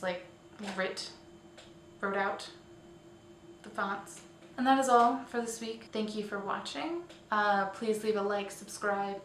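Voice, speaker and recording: A woman presents a casual online roundup in an informal, chatty manner. Her voice sits at an average pitch and she drops in self-deprecating asides. The recording is roomy and echoey, a little away from the mic, with faint noise underneath. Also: fairly bright tone, far from the mic. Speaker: host of a weekly online roundup show.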